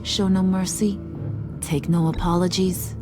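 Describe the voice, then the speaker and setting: A woman's voice: on the low side, level tone, measured pace, lightly acted. An actress playing a cocky, volatile female gang boss in a video game, American, teasing and slangy, slipping from singsong to sinister. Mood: probing, menacing. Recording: loud game music underneath.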